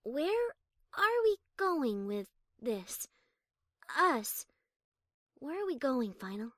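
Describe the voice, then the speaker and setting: A refined, worried pony mare acted by a woman speaks high and slowly, halting and earnest, with pitch that rises and falls freely. It is audiobook character acting for a storybook romance. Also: sing-song, no room sound, studio quality, exaggerated voice. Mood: worried, pleading. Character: refined, anxious female pony, a worried lover.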